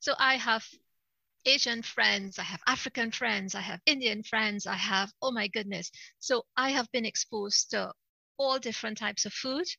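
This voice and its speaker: Bright, gravelly-voiced woman from Trinidad. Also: on the high side, moderate pace, dry recording, highs cut off. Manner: earnest, repeats words, laughs easily. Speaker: guest coach. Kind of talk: casual interview conversation.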